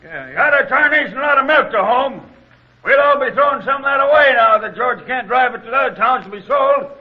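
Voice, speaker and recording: Shrill, folksy dairy farmer. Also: male voice, expressive, brisk. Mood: agitated, complaining. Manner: rustic, folksy, emphatic. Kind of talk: 1940s radio drama acting. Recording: thin, narrow old radio recording.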